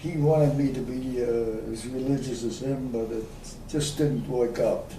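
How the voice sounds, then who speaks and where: A man, recalling his life in an oral history interview, slow and hesitant with many pauses. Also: medium pitch, fairly even intonation, warm tone. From Austria. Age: 90s. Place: room at a community center. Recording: echoey room, distant mic, faint hiss.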